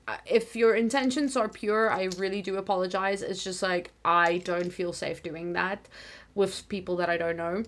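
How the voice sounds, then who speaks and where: A woman's voice: medium pitch, fairly bright tone. A young woman hosting a casual livestream, thinking aloud in a rambling way with many fillers. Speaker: livestream host.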